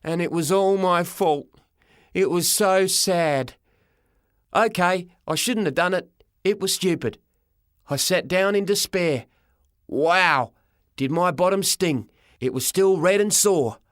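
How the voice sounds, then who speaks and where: Higher-pitched male audiobook narrator, very dry. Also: takes it slowly, long pauses, dry recording. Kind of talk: scripted first-person story narration.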